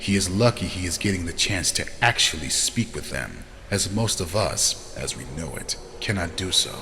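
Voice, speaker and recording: A man playing a solemn, formal vampire elder with measured delivery in a fantasy audio drama. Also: low-pitched, lively intonation, sharp tone, exaggerated voice. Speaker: voice actor. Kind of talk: scripted fantasy character dialogue. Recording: long reverb, faint noise.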